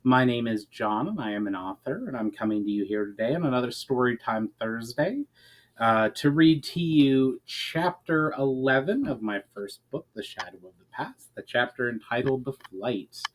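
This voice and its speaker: A man's voice: medium pitch, animated, moderate pace, poor audio. A man reading his own fantasy story aloud as a dramatic narration.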